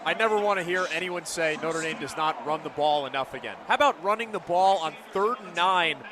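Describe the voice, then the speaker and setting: An American man with a moderately high voice offers radio football analysis. His delivery is conversational and opinionated, filling the gaps between plays. Stadium noise is clearly audible behind him. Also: fairly quick. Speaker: radio color commentator. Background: outdoor stadium ambience.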